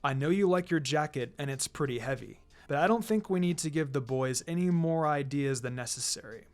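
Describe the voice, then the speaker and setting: A narrator voicing a calm adult male ninja teacher with a measured, instructive and dryly teasing manner in a fan fiction reading. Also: mid-range voice, soft background music, voice pushed down, natural voice. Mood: gently teasing.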